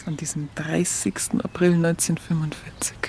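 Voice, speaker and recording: An actress playing a plainspoken, wry Munich woman with a Bavarian accent, telling her memories haltingly and conversationally in a radio play. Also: low voice, little pitch movement, darkish tone, in a whisper. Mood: reflective, halting. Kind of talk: colloquial first-person narration in radio play.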